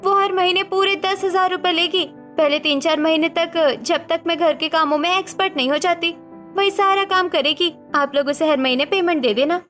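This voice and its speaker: High-pitched, defiant young daughter-in-law played by a woman. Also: normal speed, fairly bright tone, soft background music. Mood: plaintive, defiant. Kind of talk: Hindi cartoon family melodrama acting.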